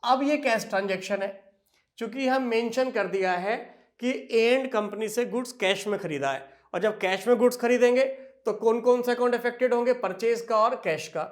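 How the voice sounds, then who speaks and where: A man teaching accounting in a classroom lecture style. He addresses the students fondly, poses questions and then answers them himself, and slows right down when he dictates entries. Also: extremely high pitch. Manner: slow, drawn-out dictation of entries.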